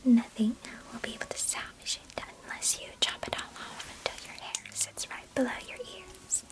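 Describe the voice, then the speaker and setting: A woman's voice: fairly high pitch, steady tone, normal speed, natural voice. A woman playing a chatty, doting young cousin in an ASMR roleplay, whispering breathily with playful grandeur. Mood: playful teasing.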